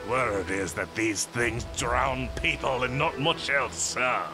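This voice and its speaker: A man's voice: medium pitch, slow, music in the background. A man playing a cocky, flirtatious pirate captain with a swaggering, laughing manner. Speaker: audio drama voice actor. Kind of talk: audio roleplay performance.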